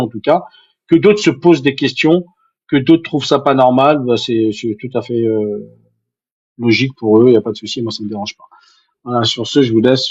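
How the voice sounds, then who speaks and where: A man's voice: medium pitch, a little mellow, husky, highs cut off. A man in a live online debate, speaking hesitantly and reflectively, often repeating words as he explains his beliefs. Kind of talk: casual live discussion. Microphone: live stream call.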